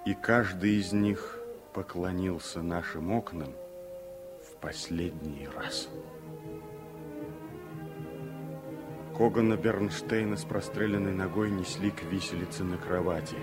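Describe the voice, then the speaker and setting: Slow, grave former exile. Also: male voice, sits low, steady tone, music in the background. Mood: grieving, solemn. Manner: solemn, measured, weighty. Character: grave former political exile, a man haunted by memory. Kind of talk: Soviet radio play dramatic storytelling.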